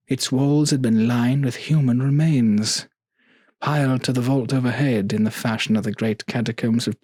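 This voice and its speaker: A male narrator with a husky, mid-pitched voice and a very unhurried pace. He reads a gothic tale dramatically, with deliberate pauses, in a dry, close recording. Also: darkish tone, no echo.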